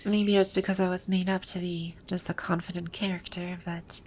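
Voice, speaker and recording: A woman playing a proud, teasing video game villainess come to life, speaking softly in an intimate ASMR roleplay. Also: fairly low pitch, level tone, normal speed, slight background noise, natural voice. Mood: shy, vulnerable. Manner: smug, teasing, soft-spoken with breathy asides. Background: birdsong and outdoor ambience sound effects.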